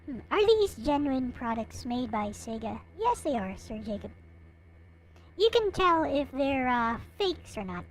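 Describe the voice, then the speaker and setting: A woman hosting a livestream, chatting casually with viewers in a halting way and often muttering to herself. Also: sits high, sing-song, slight background noise. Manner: halting, muttering to self while setting up. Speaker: livestream host.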